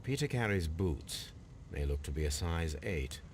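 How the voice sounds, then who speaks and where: An actor playing a composed Victorian gentleman detective in a video game, with an English accent and a measured, precise delivery. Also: low voice, lively intonation. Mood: musing, observant. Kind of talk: video game dramatic acting. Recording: clear background noise and ambience.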